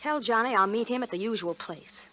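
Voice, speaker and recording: An actress playing a cool, defiant young heiress, firm and dramatic, in 1940s radio style. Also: female voice, a little high, fairly quick, close to an everyday voice. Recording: muffled, noisy 1940s radio recording.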